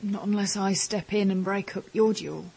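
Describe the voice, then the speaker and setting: A woman playing an intense, solemn young woman in an audio drama, with a husky voice. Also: mid-range voice, fairly even intonation, moderate pace, darkish tone, rough recording. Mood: grave, firm. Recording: music under the voice.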